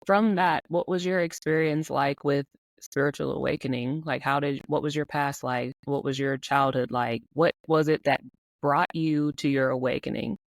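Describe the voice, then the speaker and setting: A woman's voice: sits low, steady tone, gravelly, no echo. Encouraging woman podcast host. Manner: encouraging, with many quick affirmations. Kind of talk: casual podcast interview.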